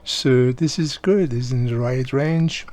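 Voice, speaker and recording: A man's voice: medium pitch, takes it slowly, a little mellow. Older man chatting through a radio repair. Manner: chatty, thinking aloud while working. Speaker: electronics repair hobbyist. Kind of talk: casual repair tutorial. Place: home workshop room.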